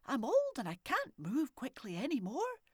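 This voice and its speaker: A woman with a Scottish accent voicing a kindly, frail old grandmother who fusses loudly. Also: high voice, wildly swooping pitch, moderate pace, slightly rough, no room sound, studio quality, pitched above the performer's own voice, full character voice. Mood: flustered.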